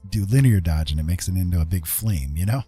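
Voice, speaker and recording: A man with a deep, dark, slightly rough voice teaches digital art on a casual stream. He is informal and easygoing, tagging his points with little check-in questions. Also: lively intonation, fairly quick, soft background music. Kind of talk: casual live tutorial talk.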